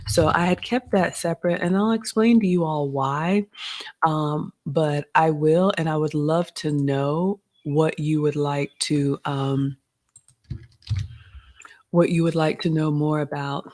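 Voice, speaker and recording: A woman's voice: low voice, a little mellow. A woman in her forties, originally from Ghana, chatting casually as host of a live Q&A and reading out viewer comments. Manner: chatty, reads viewer comments aloud, uses "y'all" and "you all".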